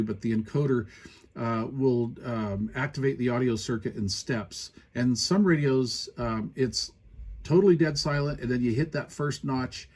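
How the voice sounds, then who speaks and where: Man, mid-pitched voice, casual product reviewer, conversational, explanatory hands-on walkthrough. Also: darkish tone.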